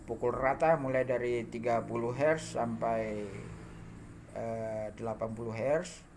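A man narrating an informal hands-on demo, halting and muttering to himself as he works, recorded indoors on a handheld phone. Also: mid-range voice, fairly even intonation, noisy background. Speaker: hobbyist electronics builder demonstrating circuits. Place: indoor home workbench.

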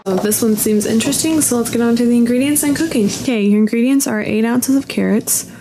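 A young woman in a home kitchen reading recipe steps aloud in a steady, matter-of-fact way. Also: a little high, fast, over music, low-quality recording. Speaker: home cook.

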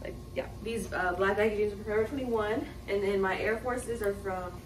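A young American woman talks casually to the camera as a vlogger. Her voice sits at a medium pitch, and the recording picks up clear background noise. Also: fairly even intonation, moderate pace. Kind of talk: casual vlog chat. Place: hotel room. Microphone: camera or phone at arm's length. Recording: clearly noisy room sound.

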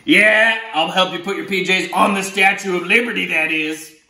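A man voicing a cheerful, mischievous octopus from a picture book, with an emphatic, theatrical, drawn-out delivery. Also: high voice, gravelly, sharp tone, roomy sound, voice pushed up, exaggerated voice, poor audio. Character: cheerful, mischievous male octopus, eager to please his friend.

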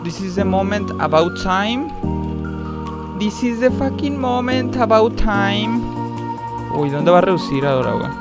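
A young man with a Mexican accent giving excitable, slangy live commentary while playing a mobile shooter, with frequent high outbursts. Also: a little slow. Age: young adult. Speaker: gaming streamer. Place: indoor room at a desk, facecam. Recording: game music underneath. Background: game music.

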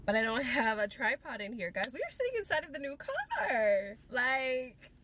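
A woman's voice: sits high, huge pitch swings, moderate pace, a bit bright, a little hiss or hum. A woman vlogger talking casually and informally to her viewers, with chatty asides in a girly manner.

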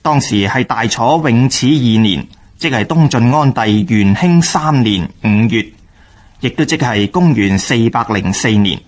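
A man with a Hong Kong Cantonese accent narrating scripted history for radio in a measured, expository manner. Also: medium pitch, animated.

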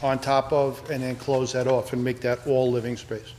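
A man's voice: medium pitch, little pitch movement, moderate pace, a little mellow, a little hiss or hum. Matter-of-fact man at a hearing. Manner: brief, matter-of-fact answers. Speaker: applicant at a public hearing. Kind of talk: answering a board. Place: town hall meeting room.

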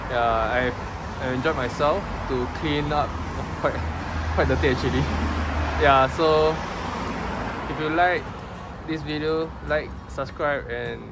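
A man in his thirties with a Singaporean accent, chatting casually for a vlog in a halting way, often repeating his words. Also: a little high, steady tone. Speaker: vlogger. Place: outdoors, by a street. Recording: loud outdoor street and traffic noise.

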